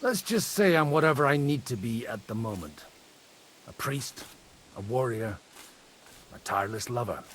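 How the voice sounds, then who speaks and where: A man playing a worldly medieval parish priest in a video game, measured and dryly witty, with music behind. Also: medium pitch, lively intonation, a little hiss or hum, natural voice. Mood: wry, self-assured. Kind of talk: video game cutscene acting.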